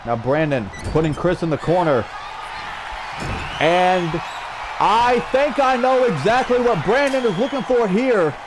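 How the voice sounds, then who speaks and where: A man calling a video game wrestling match play by play, energetic with shouted exclamations and a strained throat. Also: high-pitched, unhurried. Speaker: play-by-play commentator. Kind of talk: excited wrestling commentary over video game. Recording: loud game crowd and noise behind. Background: video game wrestling crowd.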